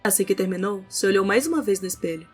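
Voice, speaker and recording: A woman's voice: mid-range voice, quick pace. A woman with a Brazilian accent reading scripted narration for an audio novel. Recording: music under the voice.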